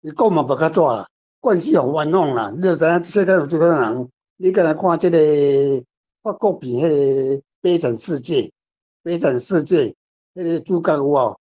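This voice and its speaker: Older man, Taiwanese accent, higher-pitched, slightly dull recording, casual anecdotal talk, repetitive phrasing. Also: normal speed, warm tone. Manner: rambling, anecdotal, repeats phrases. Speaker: author guest discussing their story. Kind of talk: casual literary discussion.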